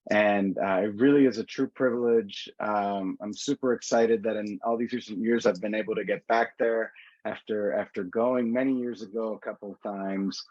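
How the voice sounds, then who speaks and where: A man's voice: lowish voice, steady tone, moderate pace, darkish tone, dry recording. A man presenting rates on a travel webinar over a video call, talking casually with many fillers and restarts. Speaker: tour organizer. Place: indoors in a city. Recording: dull lo-fi video call audio.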